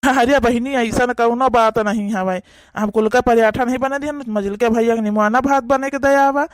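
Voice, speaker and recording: A man's voice: extremely high pitch, steady tone, normal speed, smooth, dry recording. A man with an Awadhi accent voicing a kind, easygoing young brother in a cartoon, explaining things soothingly. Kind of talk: cartoon voice acting.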